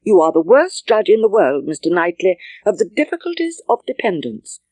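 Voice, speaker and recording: A woman voicing a spirited, stubborn young Regency gentlewoman in an audiobook, with genteel, emphatic British Received Pronunciation. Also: medium pitch, big pitch swings, moderate pace, dry recording. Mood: reproachful. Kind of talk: audiobook character dialogue.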